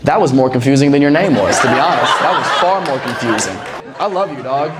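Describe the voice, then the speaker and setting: A man's voice: medium pitch, expressive, fairly quick. A young man doing stand-up crowd work on a club stage with a handheld mic, teasing and laughing through his lines. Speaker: stand-up comedian. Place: comedy club stage, brick wall. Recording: noisy club recording with crowd. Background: loud audience laughter.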